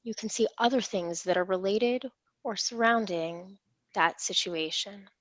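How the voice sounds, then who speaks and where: A woman leading a guided imagery meditation in a soothing, unhurried, reassuring manner. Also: medium pitch, no echo. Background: gentle instrumental music.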